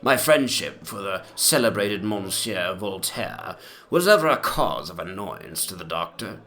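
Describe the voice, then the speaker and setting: A man playing a very old, rambling gentleman memoirist with a pompous, old-fashioned manner in a dramatic reading. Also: sits low, big pitch swings, measured pace, full character voice. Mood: reminiscing, pompous.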